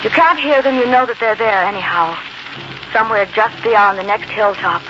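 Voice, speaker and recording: A woman's voice: mid-range voice, moderate pace, natural voice. An actress playing a young, wistful and watchful frontier settler woman in a 1940s radio drama. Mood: wistful, uneasy. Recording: muffled, hissy 1940s radio recording.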